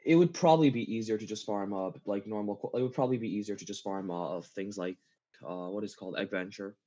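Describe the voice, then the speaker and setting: A man's voice: on the low side, quick pace, mellow. A man chatting casually as an interview guest, often stopping and rephrasing himself mid-sentence. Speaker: interview guest, game map builder. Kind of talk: casual chat. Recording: dull lo-fi voice recording.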